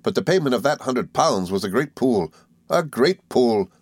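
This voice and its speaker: A man voicing a cheerful, good-natured young gentleman law student in an audiobook, eager and flustered, with little stammering hesitations. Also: medium pitch, expressive, brisk, no echo, clean studio sound, voice pushed up, natural voice. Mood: cheerful, earnest. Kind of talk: audiobook character dialogue.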